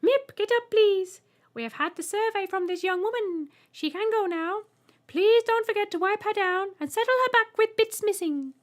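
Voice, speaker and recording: A fussy storybook alien, very high and loud. It hands out polite orders in an emphatic, bossy way. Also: female voice, normal speed. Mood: bossy, brisk.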